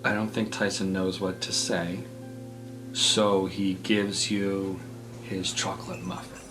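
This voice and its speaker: A man plays a tabletop game, narrating casually. His voice is on the low side, with guitar music clearly behind it. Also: level tone, takes it slowly.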